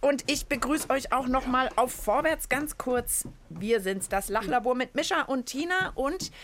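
A woman's voice: sits high, expressive, a little slow, fairly bright tone. A woman hosting a children's science podcast in playful chat, explaining things to young listeners. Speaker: podcast host.

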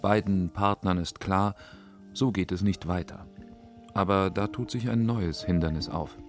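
A man's voice: sits low, fairly even intonation, a little slow, faint music behind. A man narrating a documentary feature from a script.

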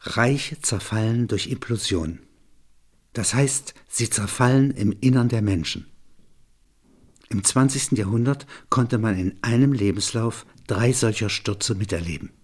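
A man with a husky voice reading reflective scripted narration. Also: lowish voice, measured pace.

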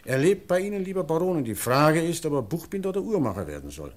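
An actor playing an earnest, excitable, genial mayor in a German period radio play, speaking emphatically and formally with small hesitations. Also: male voice, on the high side, quick pace. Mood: earnest protest.

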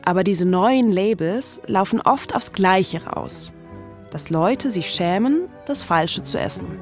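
A woman's voice: medium pitch, animated, slow pace, music in the background. A woman in her thirties narrating a scripted documentary podcast as its host. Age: 30s.

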